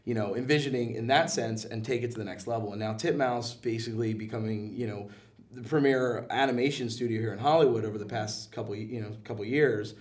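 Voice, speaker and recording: A man's voice: medium pitch, brisk. Enthusiastic man chatting, away from the mic. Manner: enthusiastic, slangy, joking. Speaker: guest, comic book and animation producer. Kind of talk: casual interview chat. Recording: voice somewhat far from the mic.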